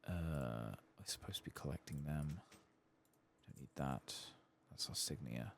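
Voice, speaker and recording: A soft-spoken man giving casual ASMR game commentary, muttering as he thinks aloud, close to the microphone. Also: a bass voice, a little slow, vocal fry, slight background noise. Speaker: host of a gameplay channel.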